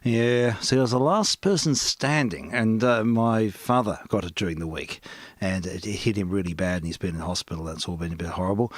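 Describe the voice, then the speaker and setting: A man's voice: lowish voice, fairly quick. A man hosting a casual amateur radio chat, rambling and easygoing with plenty of fillers.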